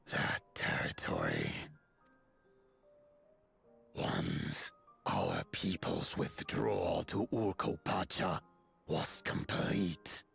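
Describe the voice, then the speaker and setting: An extremely low, heavily creaking voice of a solemn giant elder, delivered very slowly in stately, archaic phrasing. It is fantasy game dialogue with music underneath. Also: male voice, heavily acted. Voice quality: deep, gravelly, breathy at phrase ends. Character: solemn giant elder of an ancient mountain people.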